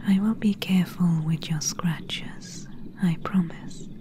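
A woman playing a gentle, soothing swamp witch in a soft-spoken, whispery ASMR roleplay. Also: lowish voice, fairly even intonation. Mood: soothing, tender. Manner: slow, hushed, whispery and soothing.

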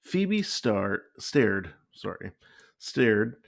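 A man reading a children's picture book aloud with expressive, deliberate storytelling. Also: lowish voice, creaky. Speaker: story time reader.

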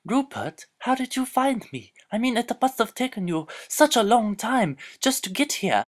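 A woman's voice: on the high side, sing-song, normal speed. A woman voicing a silly, boastful, easily exasperated male dog in an exclamatory amateur cartoon parody. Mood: dramatic.

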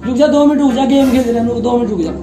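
A man's voice: extremely high pitch, racing, dark tone, reverberant, faint music behind. A young man playing a brash barber in a comic sketch, bossing and stalling his customers in a small shop. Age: young adult.

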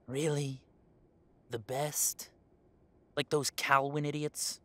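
A man voices a defiant young werewolf heir. He pitches fairly high for a male and sounds sarcastic and indignant, in audio roleplay style. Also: level tone, slow, fairly bright tone. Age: young adult. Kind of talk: audio roleplay drama acting.

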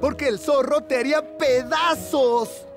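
Very high-voiced excitable youth. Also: male voice, measured pace, music in the background. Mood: enthusiastic. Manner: enthusiastic, teasing. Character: excitable young male student, racing fan. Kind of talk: animated cartoon dubbing.

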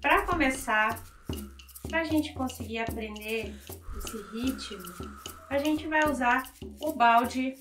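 A young woman from Brazil tells a folk tale and teaches rhythm to children, slow and emphatic on key words, seated on the floor of a bright room and recorded by a camera some distance away. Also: a little high. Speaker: music teacher. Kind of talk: storytelling lesson for children. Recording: echoey room, noisy, mic far off.

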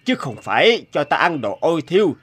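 Animated folk tale: stern male god, formal and commanding, expressive intonation, music underneath. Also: fairly high pitch, a little fast. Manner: formal, admonishing.

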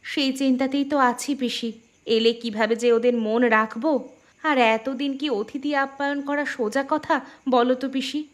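A woman voicing a gentle, anxious village mother in a cartoon fairy tale, polite and homely. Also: high voice, steady tone, moderate pace, lightly acted. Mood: worried. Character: gentle, worried village mother, hospitable and poor.

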